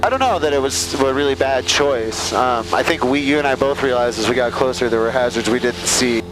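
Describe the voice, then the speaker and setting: A man's voice: mid-range voice, brisk. A man working as a flight instructor, talking casually and encouragingly through a headset in a small plane. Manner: informal, encouraging. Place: small airplane cockpit in flight. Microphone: aviation headset. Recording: loud cockpit engine noise, aviation headset intercom.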